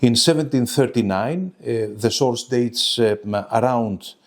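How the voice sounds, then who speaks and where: A man giving an academic lecture in a formal, careful and halting manner. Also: medium pitch. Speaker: lecturer presenting a web seminar.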